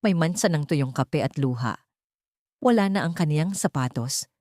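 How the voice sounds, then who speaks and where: A woman's voice: sits low, animated, normal speed, a little mellow, no room sound, studio quality. A woman with a Filipino accent narrating a scripted dramatic audio story.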